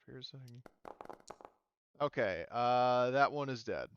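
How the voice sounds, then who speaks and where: A man running a tabletop roleplaying game as game master, talking casually and haltingly as he thinks aloud. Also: mid-range voice, lively intonation, muffled recording, a little hiss or hum. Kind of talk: running a tabletop roleplaying game, improvised narration and rules talk.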